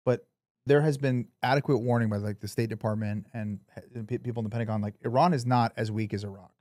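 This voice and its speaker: A man's voice: medium pitch, steady tone, fast, warm tone, no room sound, studio quality. A man co-hosting a podcast, chatting casually and emphatically about politics with some swearing. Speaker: podcast co-host. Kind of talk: casual opinionated political chat.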